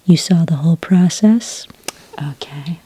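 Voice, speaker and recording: A woman speaking in a whispery, breathy soft voice, calm and methodical, as an agent in an ASMR roleplay, close to the microphone. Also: sits low, slight background noise. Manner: calm, methodical questioning with gentle pauses. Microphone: close microphone in a dry room.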